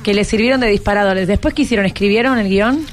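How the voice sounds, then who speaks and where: A woman's voice: medium pitch, quick pace, faint music behind. A woman with an Argentine accent hosting a casual, chatty radio interview, prompting her guests with encouraging questions. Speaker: radio host interviewing school students.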